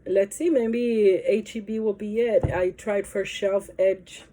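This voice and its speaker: A woman's voice: mid-range voice, fairly even intonation, slow, warm tone, a little hiss or hum. A woman chatting casually to her camera in a rambling, hesitant way, her nose stuffy from allergies. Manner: rambling, hesitant, many fillers. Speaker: vlogger.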